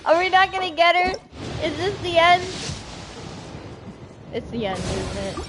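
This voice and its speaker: A woman giving casual live commentary as a game streamer, with an excitable, dramatic manner. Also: very high-pitched, unhurried, music in the background. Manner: excitable, dramatic, pleading exclamations.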